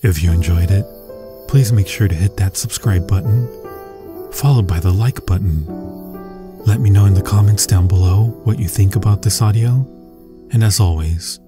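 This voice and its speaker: A man's voice: fairly low pitch, normal speed, music in the background. A man speaking as an audio channel host, giving a scripted outro to listeners.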